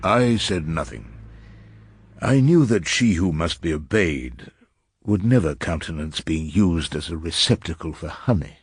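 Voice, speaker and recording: Elderly English barrister, husky, lowish, animated intonation, wry, irascible, ornate literary phrasing, radio drama narration. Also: male voice, measured pace, full character voice. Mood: wry, world-weary.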